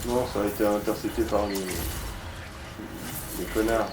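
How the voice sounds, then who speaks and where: A man's voice: fairly low pitch, fairly even intonation, slow. A man giving casual advice and commentary during a video game. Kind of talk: casual chat, gaming commentary. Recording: roomy, distant mic, faint noise.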